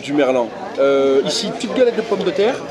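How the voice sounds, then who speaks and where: A man's voice: on the high side, lively intonation. A man with a Marseille accent chatting casually and joking over a meal. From Marseille, France. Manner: quick, joking, upbeat. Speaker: local guest. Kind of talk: casual chat. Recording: loud crowd chatter behind.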